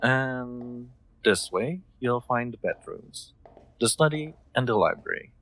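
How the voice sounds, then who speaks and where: A man playing a gentle, solicitous nobleman in an intimate roleplay audio drama, speaking in formal, courteous, slightly hesitant phrases. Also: medium pitch, lively intonation, slow. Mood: welcoming, attentive. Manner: formal, old-fashioned courteous phrasing, hesitant, soft chuckles. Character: gentle, solicitous nobleman, new master of an automaton.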